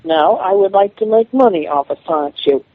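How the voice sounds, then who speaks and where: A man speaking defensively on a phone call, halting and repeating himself. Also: high voice, lively intonation. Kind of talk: phone conversation, answering challenges. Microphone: telephone call. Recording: dull lo-fi phone call recording.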